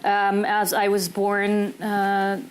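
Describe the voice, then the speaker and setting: A woman's voice: medium pitch, little pitch movement, takes it slowly, a little hiss or hum. A woman born in the US whose first language was Hebrew, speaking as a panelist on a clip-on mic and talking candidly with frequent ums and restarts. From United States. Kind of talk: panel talk. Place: conference room.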